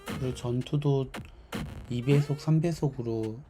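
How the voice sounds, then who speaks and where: A man with a hoarse, worn voice giving casual livestream commentary as a game developer, thinking aloud haltingly. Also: mid-range voice, music in the background. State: hoarse, strained voice from overuse.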